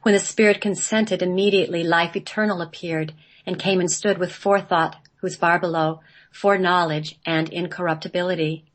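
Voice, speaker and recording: A woman's voice: fairly low pitch, fairly even intonation, brisk. A woman reading a scripted sacred text as part of a radio play.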